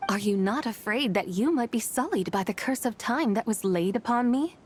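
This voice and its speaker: High-voiced, sly ancient horse spirit, played by a woman. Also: animated, normal speed, a little hiss or hum, natural voice. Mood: pointed, lofty. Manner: archaic formal phrasing, teasing and theatrical. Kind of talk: scripted video game dialogue.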